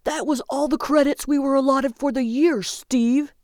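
A woman's voice: medium pitch, expressive, measured pace, a little mellow, dry recording, studio quality. A woman voicing a huge, nervous male agent of death in an audiobook, anxious, needling and pleading with his partner. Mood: pleading, worried.

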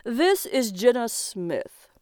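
A woman voicing a formal, sarcastic auctioneer of souls in an audiobook, announcing in a drawn-out way. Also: fairly high pitch, big pitch swings, a little mellow, no room sound, studio quality, pitched above the performer's own voice.

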